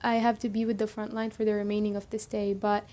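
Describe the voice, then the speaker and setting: A woman playing a young zombie-apocalypse camp chief in a roleplay audio drama, explaining things conversationally to the listener with hesitant pauses. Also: medium pitch, little pitch movement, mellow, lightly acted. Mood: businesslike, helpful.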